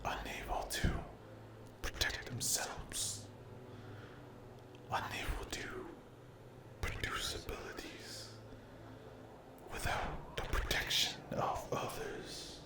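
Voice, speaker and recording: A man voicing a cruel, mocking sleep paralysis demon in a breathy ASMR roleplay, taunting and condescending in drawn-out phrases. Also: natural voice. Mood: taunting, contemptuous. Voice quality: breathy whisper. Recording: roomy echo.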